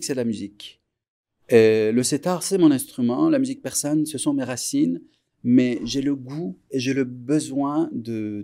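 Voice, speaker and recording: Man, 40s, from Iran, Persian native speaker; gravelly, reflective interview guest who pauses to find words. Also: mid-range voice, a little mellow. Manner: unhurried, reflective, pauses often to search for words. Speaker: musician guest. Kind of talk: relaxed interview recollection.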